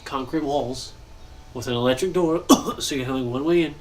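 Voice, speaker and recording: A young man with a stuffy, nasal voice, chatting casually and thinking aloud while he builds in a game, pausing often to cough or clear his throat. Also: mid-range voice, slight background noise, rough recording. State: congested, nasal. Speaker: gaming channel host.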